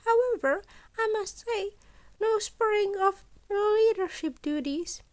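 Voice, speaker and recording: Lady doing a little rodent headmaster guy for a story, super high voice, polite n formal but scheming and strict. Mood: firm, courteous. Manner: formal, measured, emphatic phrasing. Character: small rodent-like male principal, polite, cunning, exacting schemer.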